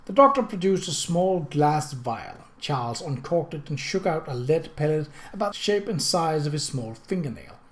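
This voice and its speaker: Male audiobook narrator for a period mystery, moderately high pitch, measured storytelling read. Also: lively intonation.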